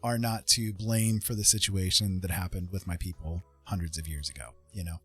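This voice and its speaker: Low-voiced American man, earnest and halting. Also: fairly even intonation, a bit bright, breathy edge, soft background music. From Indiana, United States. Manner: earnest, emphatic, halting with long pauses. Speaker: livestream host. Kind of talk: casual reflective livestream chat.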